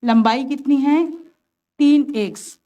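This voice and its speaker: A woman's voice: high voice, slow pace, warm tone. Woman teaching a maths lesson, patient. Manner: patient, step-by-step, asks and answers her own questions. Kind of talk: classroom lesson, explaining worked examples.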